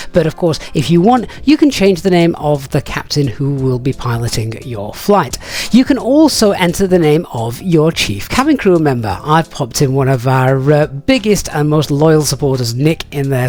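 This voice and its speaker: Higher-pitched man narrating a software tutorial. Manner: explanatory, conversational. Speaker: video presenter reviewing flight simulator software.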